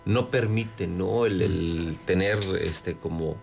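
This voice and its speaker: Low-voiced Mexican man, halting interview answers. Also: vocal fry, a little mellow, soft background music. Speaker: city government secretary being interviewed. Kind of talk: conversational political interview answers.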